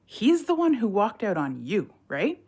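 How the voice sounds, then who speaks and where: A woman's voice: mid-range voice, huge pitch swings, a little slow, a little mellow, breathy edge, exaggerated voice. A supportive single mom in an audio play. Her mid-range voice swings freely as she gives blunt, colloquial encouragement with an exasperated edge. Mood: frank, supportive. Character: blunt, supportive friend, working single mother. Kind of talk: audio drama acting.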